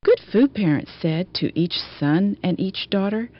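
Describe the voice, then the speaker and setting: Lively woman reading a children's story, slightly muffled. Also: mid-range voice, measured pace. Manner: expressive, storytelling delivery. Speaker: children's book narrator. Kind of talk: rhyming picture-book read-aloud. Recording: dull, lo-fi audio.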